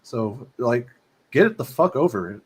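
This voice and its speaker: A man's voice: mid-range voice, lively intonation, moderate pace, slightly rough. A man talking bluntly and emphatically in a casual podcast chat, swearing and leaning on "it's like". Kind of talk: casual conversation.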